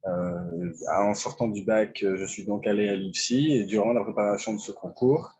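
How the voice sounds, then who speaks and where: A man in his twenties from France, a medical intern, talking hesitantly about his career with many pauses and fillers. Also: on the low side, steady tone, a little mellow, breathy edge, poor audio. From France (Lyon). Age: 20s. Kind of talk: online panel talk about his career.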